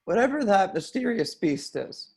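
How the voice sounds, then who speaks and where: A man's voice: sits high, big pitch swings, moderate pace, gravelly, darkish tone, slightly rough, heavily acted. Man acting a swooping, sarcastic young stoner. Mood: sardonic, stoned. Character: stoned, grumpy young slacker guy, sarcastic. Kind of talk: amateur screenplay table read.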